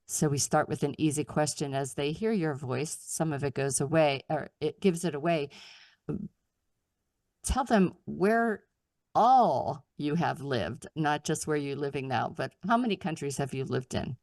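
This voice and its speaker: Older female podcast host, low and gravelly, encouraging tone. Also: unhurried, darkish tone, dry recording, studio quality. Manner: upbeat, encouraging. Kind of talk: casual interview talk.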